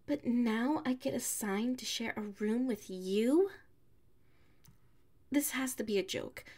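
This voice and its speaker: A woman voicing a prickly, haughty young tsundere classmate with a hidden soft side, in an ASMR audio roleplay. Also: on the high side. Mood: indignant, huffy. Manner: haughty, huffy, sighing, drawn-out phrasing.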